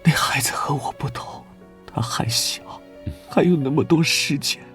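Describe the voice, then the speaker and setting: A man playing a gentle, burdened young knight vice-captain in an animated drama, speaking in a soft, subdued way. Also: fairly high pitch, a little slow, in a whisper. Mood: anguished. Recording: loud music under the voice.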